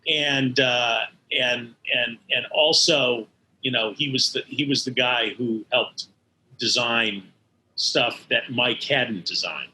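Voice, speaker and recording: An older American man recalling his work as an interview guest, in a halting way that circles back over words. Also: mid-range voice, bright, forceful tone, a little hiss or hum, poor audio. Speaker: interview guest, animation studio veteran. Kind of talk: conversational interview recollection.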